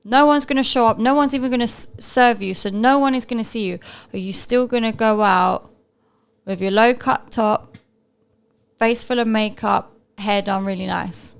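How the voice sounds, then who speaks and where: A woman's voice: on the high side, normal speed, vocal fry, clear, resonant tone. A woman chatting casually as a co-host, reacting in an informal, slangy, argumentative way.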